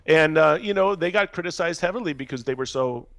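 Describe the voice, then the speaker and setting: Podcast guest: American man, slightly higher voice, dry sound, loose and rambling conversational delivery. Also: a little fast, fairly bright tone, no echo. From Minnesota, United States. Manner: rambling, peppers talk with "you know". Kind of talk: casual conversational interview.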